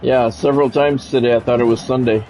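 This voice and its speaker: An American man doing sports play-by-play for a video game stream, mixing game calls with relaxed, casual chat to viewers. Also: medium pitch, brisk, soft background music. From United States. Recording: dull lo-fi audio with faint noise.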